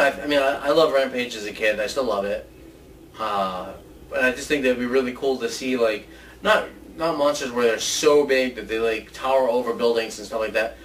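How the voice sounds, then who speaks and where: A man co-hosting a casual video chat in an informal, loose way, often repeating his phrasing. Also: medium pitch. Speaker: co-host of a video show about movie ideas. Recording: distant mic with faint background noise.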